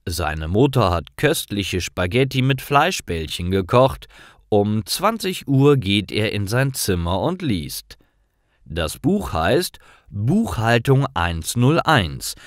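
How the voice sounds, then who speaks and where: A man narrates simple stories for language learners with a lowish voice. His delivery is slow and careful, with animated intonation. The recording is dry. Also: no echo.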